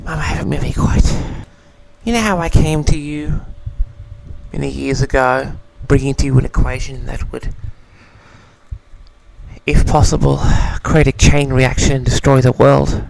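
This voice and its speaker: A man's voice: medium pitch, steady tone, measured pace, vocal fry, long pauses, darkish tone, rough recording. A man hosting a casual audio log, reading out audience questions and answering them in a theatrical, mock-grand manner.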